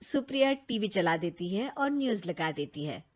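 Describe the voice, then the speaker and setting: A woman narrating a cartoon story in a calm, matter-of-fact way. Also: mid-range voice, normal speed.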